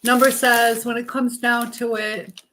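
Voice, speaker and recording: A woman's voice: a little high, flat delivery, unhurried, a bit bright. A woman hosting a casual live stream while busy in the kitchen, chattily talking to herself and to her viewers and reading out comments. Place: indoors in a kitchen. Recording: echoey room with clear background noise.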